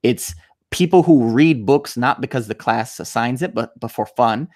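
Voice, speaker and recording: A man in his thirties with a mid-pitched voice hosts a relaxed conversation. He speaks informally and thoughtfully, restating points as he goes, into a desk microphone. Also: normal speed. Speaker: podcast host. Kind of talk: casual conversation. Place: indoor room, video call. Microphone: desktop microphone with headphones.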